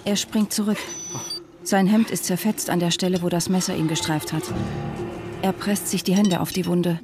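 An actress playing a haunted wife who narrates in a hushed, measured, introspective way for a radio drama. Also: female voice, fairly low pitch, fairly even intonation, natural voice. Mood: tense, shaken. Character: haunted wife Sarah narrating her husband's uncanny return. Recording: music behind the voice.

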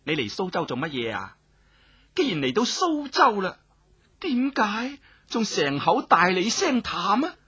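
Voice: medium pitch, huge pitch swings, a little slow, pushed and bright, husky, full character voice. A narrator voicing a cold, cruel, imperious noblewoman who speaks Cantonese with scornful, commanding menace. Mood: scornful, menacing.

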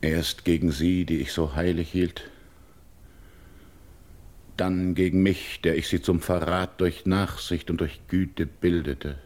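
A brooding, commanding king in a classical radio drama. His voice sits very low and he declaims verse at a slow pace, full of wounded anger. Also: fairly even intonation, a little mellow. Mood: bitter brooding. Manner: declamatory, measured verse delivery.

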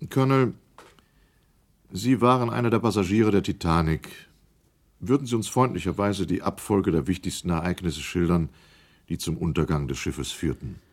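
A man's voice: sits low, moderate pace, slightly rough. A man playing a formal, courteous committee chairman who questions witnesses in a documentary radio drama.

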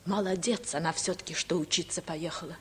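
A woman's voice: fairly low pitch, fairly even intonation, brisk, husky. An actress playing a spirited, caring young farm girl in a 1950s radio comedy. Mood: teasing. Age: young adult.

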